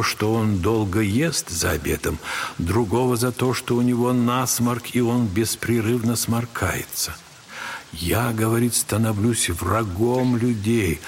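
An actor playing a gentle, wise elderly monk who counsels in a measured, softly admonishing way in a literary audio drama. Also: male voice, medium pitch, slight background noise, close to an everyday voice.

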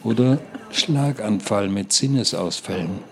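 A care-home inmate in a radio play, talking in a fairly low voice. He is darkly cheerful and coaxing, leaning on rhetorical questions and dwelling on key words. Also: a little slow, slight background noise. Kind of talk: radio play monologue and dialogue.

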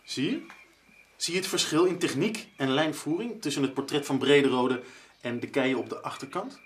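An actor in a radio drama playing an earnest, easily disheartened male researcher, hesitant and excitable. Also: on the high side, moderate pace, slight background noise, natural voice. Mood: intrigued, tense. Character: earnest, disheartened male researcher-writer chasing an art discovery.

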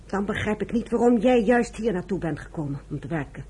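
An actress playing a fierce, blunt young kibbutz fighter woman with a husky voice in a radio play. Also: medium pitch, animated, fairly quick, warm tone. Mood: hostile, challenging. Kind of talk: Dutch radio play acting.

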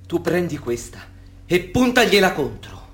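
A man's voice: high voice, sing-song, measured pace, a bit bright, slightly rough, exaggerated voice. An actor playing a wary, suspicious young man in a radio drama who gives orders in short, curt lines. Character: edgy, suspicious young man guarding a woman.

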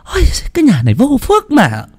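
A man's voice: very high voice, wildly swooping pitch, breathy edge, voice pushed up, full character voice. Man doing a screeching bossy mother-in-law. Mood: shrieking scold. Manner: screeching, scolding, rapid-fire tirades. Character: shrill domineering older mother-in-law.

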